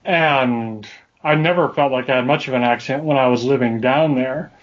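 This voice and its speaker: A man's voice: medium pitch, moderate pace. A man from Alabama chatting casually and cracking wry jokes. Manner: wry, joking. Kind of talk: casual chat. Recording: dull lo-fi sound.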